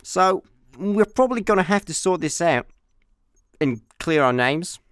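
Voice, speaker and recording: A man's voice: fairly high pitch, lively intonation, measured pace, a bit bright, smooth, dry recording, studio quality. A man playing a laid-back, wry space layabout, droll and unimpressed, in a comic sci-fi radio play. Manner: droll, unimpressed, mock-dramatic.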